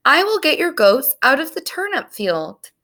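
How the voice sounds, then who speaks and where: A woman's voice: sits high, sing-song, moderate pace, bright, forceful tone, pitched above the performer's own voice, full character voice. A woman reading as an eager storybook rabbit. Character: eager, soft-hearted male rabbit.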